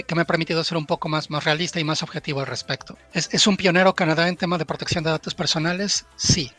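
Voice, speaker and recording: A man's voice: fairly high pitch, a bit bright. A man from Mexico with a Mexican accent, giving an informal expert presentation and posing questions that he answers himself. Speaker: data privacy professional, panel speaker.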